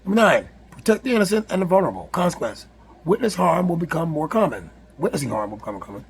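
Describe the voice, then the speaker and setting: A man with a raspy, hoarse voice, reading a numbered list aloud with casual asides, often stumbling and rereading words. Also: a little high, big pitch swings, fast, slight background noise. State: hoarse from yelling. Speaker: spiritual video host.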